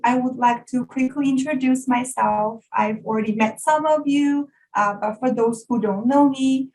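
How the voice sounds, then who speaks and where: Female workshop facilitator, native Mandarin speaker with Chinese accent, fairly high pitch, formal and courteous presenting. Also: a little mellow, a little hiss or hum, low-quality recording. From China. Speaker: workshop facilitator, educational developer. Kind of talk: workshop introduction, prepared presentation. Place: online video call session.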